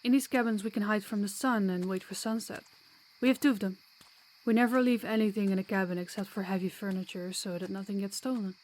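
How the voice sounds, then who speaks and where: A woman's voice: mid-range voice, fairly even intonation, fairly quick, a little mellow, slight background noise, natural voice, low-quality recording. A woman playing a sociable, kind vampire guide in an audio roleplay, chatty and reassuring with a playful streak. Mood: friendly, explanatory.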